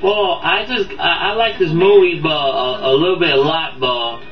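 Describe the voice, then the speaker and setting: A man's voice: on the high side, normal speed, faint music behind. Rambling man in a boxy, echoey room. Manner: rambling, stumbling, repeats words. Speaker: amateur video game movie reviewer. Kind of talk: casual unscripted countdown review. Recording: boxy echoey room, distant dull mic, background audio.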